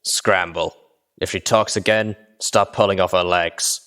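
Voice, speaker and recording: A man's voice: low voice, fairly even intonation, measured pace, fairly bright tone. A man voicing a menacing male pony gang lieutenant, sarcastic, curt and impatient, in a storybook reading. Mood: threatening. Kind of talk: audiobook character dialogue.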